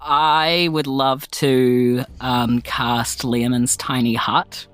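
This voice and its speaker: A woman's voice: a bass voice, fairly even intonation, very slow. A woman with a New Zealand accent, chatting casually and self-deprecatingly as a tabletop game player. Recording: background music under speech. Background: music.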